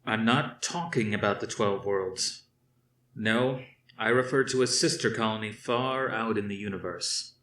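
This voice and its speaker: A man reading aloud, voicing a stern older fleet commander who speaks slowly and firmly to his officers. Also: lowish voice, fairly bright tone, reverberant, distant mic. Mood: explaining. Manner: slow, measured, commanding.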